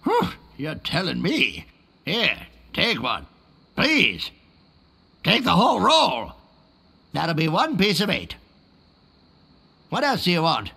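Raspy, high, surly pirate shopkeeper. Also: male voice, wildly swooping pitch, takes it slowly, long pauses, pushed and bright, heavily acted. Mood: sardonic. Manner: gruff, impatient. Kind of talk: cartoonish video game acting.

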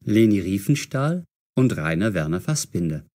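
A man playing an eager, puzzle-solving young amateur sleuth in an audio drama for language learners, speaking expressively and clearly. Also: lowish voice, sing-song, normal speed, mellow. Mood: reasoning.

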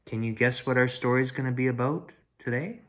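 A man in his forties reading a children's picture book aloud as a storyteller, deliberate and expressive. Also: mid-range voice. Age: 40s. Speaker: storyteller reading bedtime stories. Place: small home room with shelves, indoors.

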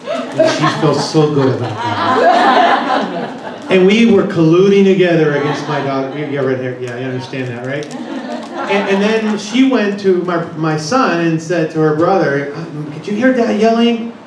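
A male presenter speaks into a handheld mic in a hotel conference room, with a slightly high voice. He chats and jokes with the audience as he leads a workshop. The camera is far off in a very echoey room, with audience murmur behind him. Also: sing-song, measured pace, crowd noise. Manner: chatty, joking with the audience. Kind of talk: interactive seminar talk.

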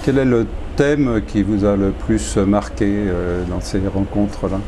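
A man's voice: on the low side, fairly even intonation, a little slow, background noise. A man interviewing a photographer, asking short casual questions and nodding along with brief replies.

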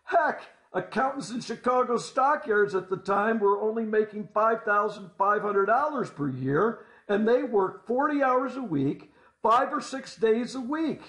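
A man telling a scripted first-person story as a storyteller, deliberately, with folksy rhetorical asides. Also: high voice, lively intonation, fairly bright tone. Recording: roomy, echoing voice-over.

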